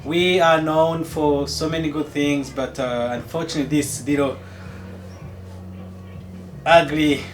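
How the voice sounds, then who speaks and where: A man from Rwanda with a Rwandan accent, earnestly giving a personal account at a meeting in a measured, halting way. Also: fairly high pitch, steady tone, faint music behind. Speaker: community meeting participant, city employee.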